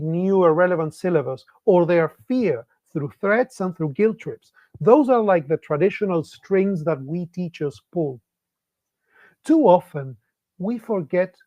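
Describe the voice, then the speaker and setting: High-voiced, reflective man. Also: darkish tone, no room sound, lo-fi sound. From Argentina. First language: Spanish. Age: middle-aged. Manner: reflective, asks many rhetorical questions. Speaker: speaker for English teachers. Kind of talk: online conference talk.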